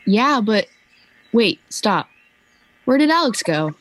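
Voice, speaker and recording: A woman's voice: fairly high pitch, big pitch swings, slow pace. A woman playing a dry, skeptical young companion in an amateur radio play. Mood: doubtful. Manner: wry and doubtful.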